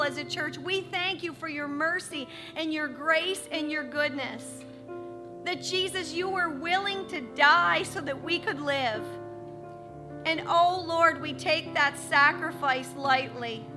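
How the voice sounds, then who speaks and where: A middle-aged woman leading a church service from a pulpit, praying fervently and then making friendly announcements. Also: high voice. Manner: fervent in prayer, chatty and laughing in announcements. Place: church sanctuary stage, at a wooden pulpit. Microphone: handheld microphone.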